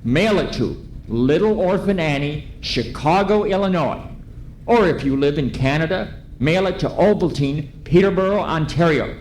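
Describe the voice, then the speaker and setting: An actor playing an eager, friendly 1930s radio announcer pitching to children, with emphatic, coaxing, careful delivery. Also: male voice, animated, moderate pace, darkish tone, lightly acted. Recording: echoey live ballroom stage, faint noise.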